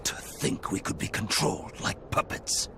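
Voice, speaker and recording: An actor playing a stern, righteous swordmaster in a video game, grave and accusing, with a gravelly voice. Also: male voice, moderate pace, heavy background noise, natural voice. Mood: accusing, bitter. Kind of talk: video game cutscene acting.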